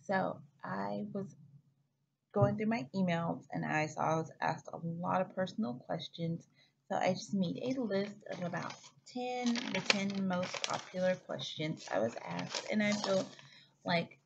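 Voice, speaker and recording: Low, gravelly-voiced woman in her thirties. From Oklahoma, United States. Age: 30s. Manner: chatty, rambling, frequent self-corrections. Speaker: flight attendant vlogger. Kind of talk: casual chat to camera. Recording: slightly muffled with faint background hiss.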